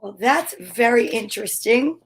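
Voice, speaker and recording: Female storyteller for kids' read-aloud: high voice, slow pacing, playful and dramatic, casual asides. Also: lively intonation. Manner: sing-song repetition, dramatic exclamations, playful sound words. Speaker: host reading picture books aloud.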